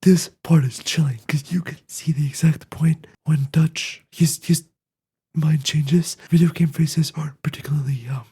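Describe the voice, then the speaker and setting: A host with a raspy, quiet, fragile voice, talking through a game scene in a soft near-whisper with frequent "right?" asides. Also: mid-range voice, fairly even intonation, moderate pace. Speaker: video essay host reacting to a game scene. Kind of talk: casual spoken analysis.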